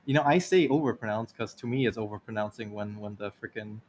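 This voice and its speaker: A man's voice: lowish voice, fast, slight background noise. A man with a Taiwanese accent chatting casually on a stream, rambling through anecdotes and laughing often. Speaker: stream co-host. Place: lives in Ireland.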